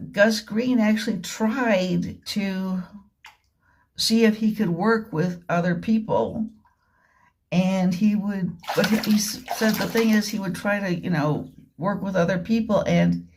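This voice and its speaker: A woman giving a rambling, informal spoken commentary, halting and repetitive, leaning on words for emphasis. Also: medium pitch, darkish tone. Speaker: vlogger. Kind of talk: rambling informal monologue retelling and commenting on a radio drama.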